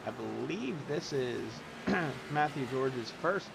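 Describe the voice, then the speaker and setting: Man, sim race commentator, casual, rambling, hoarse from talking, mid pitch. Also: lively intonation, slow. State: losing his voice, clearing throat. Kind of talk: sim racing play-by-play commentary. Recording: loud engine noise behind. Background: race car engine sounds.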